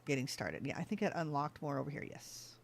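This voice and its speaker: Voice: mid-range voice, animated, fairly quick, slight background noise. A gaming channel host giving casual let's-play commentary, chatting to themselves and thinking aloud as they play.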